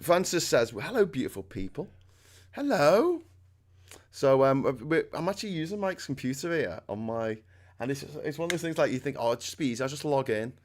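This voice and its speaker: Middle aged scouse guy hosting, loud booming voice, excitable, laughs a lot. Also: a little fast. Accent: Liverpool (Scouse). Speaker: host. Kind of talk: casual chat.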